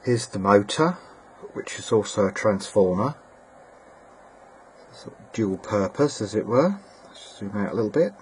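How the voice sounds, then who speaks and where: A man giving a casual, plain-spoken and slightly halting hobbyist demonstration, presenting his own equipment. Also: lowish voice, lively intonation, a little mellow. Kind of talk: casual hobbyist demo narration. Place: indoors at a workbench. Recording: clear background noise, amateur camera audio.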